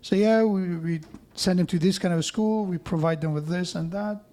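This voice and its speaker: A man's voice: high voice, moderate pace, mellow. A man preaching a sermon in a mosque, conversational, with joking asides and frequent restarts.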